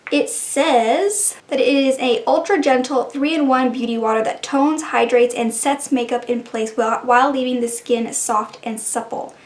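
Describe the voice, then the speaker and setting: A woman with a high voice and a slightly stuffy, congested tone talks her way through a makeup routine. She chats loosely from one step to the next, and the room around her rings with echo. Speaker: beauty vlogger. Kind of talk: casual makeup try-on narration.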